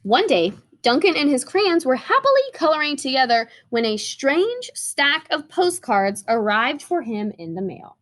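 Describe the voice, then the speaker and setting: A woman's voice: high voice, big pitch swings, a little slow, hardly any pauses, a bit bright. A woman narrating a picture book aloud for children, as a storybook reader.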